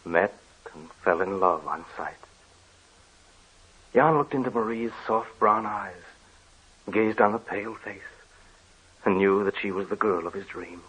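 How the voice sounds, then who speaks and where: A man narrating an old radio horror drama in a grave, measured way. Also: lowish voice, a bit bright, husky, poor audio.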